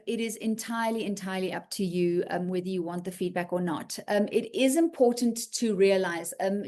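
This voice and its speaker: A woman hosts a live writing feedback session over a video call, with a voice of middling pitch. She talks casually and reassuringly, reads out comments, and keeps checking in with "okay?". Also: a little mellow. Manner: chatty, reassuring, frequent "um" and "okay?" check-ins. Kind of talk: casual live chat reading and answering comments.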